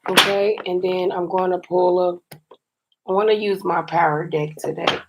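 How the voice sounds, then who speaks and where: Low, flat-voiced woman with a raspy edge. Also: moderate pace, dull audio, heavy background noise. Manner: chatty and encouraging, often says "you guys". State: slightly scratchy, raspy voice. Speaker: tarot card reader. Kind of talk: casual tarot reading for viewers.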